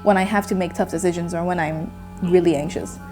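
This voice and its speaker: A woman's voice: fairly low pitch, fairly even intonation, fairly quick, dark tone, faint music behind. A nineteen-year-old woman from Myanmar, a native Burmese speaker, speaking candidly in a personal interview with hesitant restarts. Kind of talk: personal testimony.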